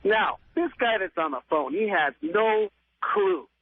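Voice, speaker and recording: A man's voice: sits high, lively intonation, unhurried. A man in his late forties calling a radio show, blunt and emphatic. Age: 40s. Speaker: radio phone-in caller. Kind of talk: opinionated phone-in chat. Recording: tinny telephone line on radio.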